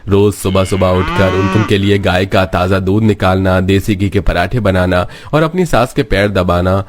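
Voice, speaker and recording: A male narrator reading a scripted cartoon story. His voice is slightly low, and he delivers the tale as a storyteller. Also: moderate pace, a little hiss or hum.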